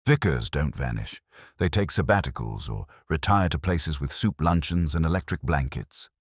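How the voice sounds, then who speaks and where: A man's voice: very deep voice, lively intonation, moderate pace, no room sound, studio quality. A man voicing a plain-spoken, sceptical police sergeant in a detective story, blunt and questioning. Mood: puzzled.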